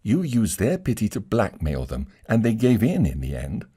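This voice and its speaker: A man voicing an imploring gentle woman. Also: lowish voice, wildly swooping pitch, normal speed, breathy edge, pitched above the performer's own voice, full character voice. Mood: urgent. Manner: earnest, imploring. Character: pleading gentle woman, urgent and loving.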